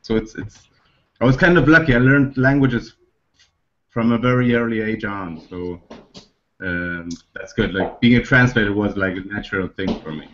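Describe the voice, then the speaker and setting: Halting German-raised man, muffled call. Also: on the low side, fairly bright tone, slight background noise. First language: German and Spanish. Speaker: freelance translator. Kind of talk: casual self-introduction. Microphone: video call. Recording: dull online call audio.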